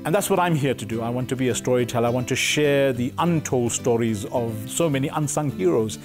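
A man's voice: medium pitch, a little fast, music in the background. A middle-aged South African man speaking articulately and earnestly as a playwright and director in an interview. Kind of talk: interview answers and explaining. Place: theatre auditorium and stage. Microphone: lapel mic.

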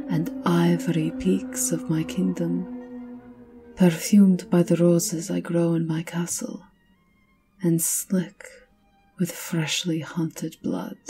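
Woman acting as ancient vampire countess, very dark breathy voice, slow, haughty, possessive, ASMR roleplay, music behind. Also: fairly low pitch. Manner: imperious, slow, teasing, often dropping to breathy whispers.

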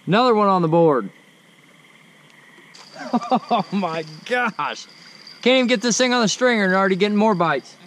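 Excited man fishing at night. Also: high voice, normal speed, pauses often, slight background noise. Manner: excited, talks while reeling in fish. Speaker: fishing vlogger. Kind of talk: casual outdoor commentary. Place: riverbank at night outdoors. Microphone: action camera, sometimes far.

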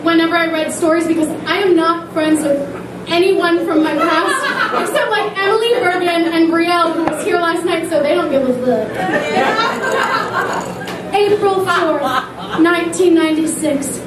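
Young woman cabaret performer, very high voice, breezy and giggly between numbers, caught far off in an echoey room over an audience. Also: moderate pace, over a noisy crowd. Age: young adult. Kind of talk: chatty stage banter between songs. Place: small indoor cabaret stage with piano. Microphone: handheld mic, filmed from a far camera. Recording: roomy, distant camera audio with loud audience. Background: piano and audience noise.

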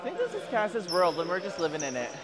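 A man's voice: high voice, wildly swooping pitch, fast, a crowd in the background. A young man playing volleyball in an indoor gym, cheering teammates and joking. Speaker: volleyball player. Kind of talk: casual chat during play.

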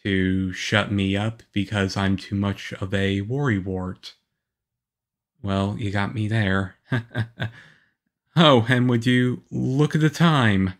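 Man acting as moody young male vampire boyfriend, lowish pitch, unhurried slow pace, halting and earnest, lonely, shy, ASMR audio roleplay. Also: steady tone. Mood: bashful, lighter.

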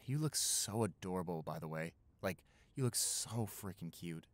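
A man's voice: sits low, lively intonation, moderate pace. A young man playing a tender, playful, smitten new boyfriend in an intimate roleplay, talking in a conversational, hesitant, affectionate way. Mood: tender, soft. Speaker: roleplay audio performer.

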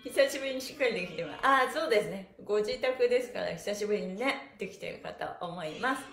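A woman's voice: medium pitch, expressive, fast, vocal fry, fairly bright tone, faint music behind. A middle-aged woman leading a stretch class in a cheerful, chatty and very polite, playful manner. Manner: cheerful, very polite, playfully addressing body parts and devices with honorifics. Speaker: stretch class instructor and livestream host. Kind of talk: chatty exercise instruction with counted chants. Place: small indoor room with bare walls and mat, echoey. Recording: echoey room sound.

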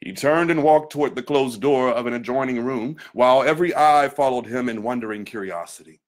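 A man giving a dramatic literary reading in a theatrical, deliberate manner with weighty pauses. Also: mid-range voice.

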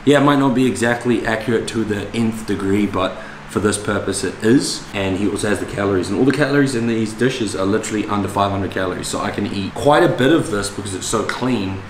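A man's voice: fairly low pitch, fast, hardly any pauses. A young man chatting casually to camera as a fitness vlogger, with blokey, slangy, sweary talk. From New Zealand. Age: young adult. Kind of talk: casual vlog chat to camera. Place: indoors in kitchen, living room and gym. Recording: echoey room with background noise.

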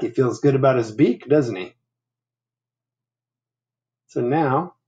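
A man in his fifties reading a picture book aloud to children, with simple, instructive, conversational asides. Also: medium pitch. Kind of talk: read-aloud with explanatory asides. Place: small room at home.

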